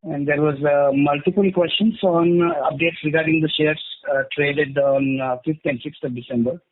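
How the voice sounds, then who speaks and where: A man with an Indian accent giving a formal, measured company statement as an executive on an investor call. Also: a little high, fairly even intonation. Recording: tinny phone conference line.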